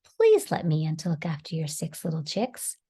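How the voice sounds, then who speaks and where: A woman's voice: low voice, huge pitch swings, moderate pace, warm tone, breathy edge, heavily acted. A woman voicing a male storybook wolf, eager and easily hurt, with a theatrical, courteous yet indignant manner. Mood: sweetly polite.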